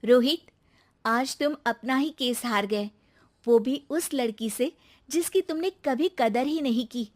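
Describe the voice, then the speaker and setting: A woman voicing an earnest, dutiful young daughter-in-law in a moral story, protesting politely with quiet resolve. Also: high voice, unhurried, pitched above the performer's own voice. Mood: reproachful. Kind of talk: acted moral-story dialogue.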